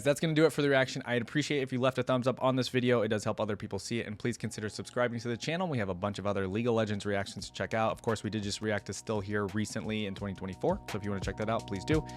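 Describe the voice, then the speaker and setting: A man giving casual, unscripted reaction commentary as a video host, talking in a rambling, conversational way. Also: on the low side. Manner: rambling, conversational, often hedging with "like" and "you know".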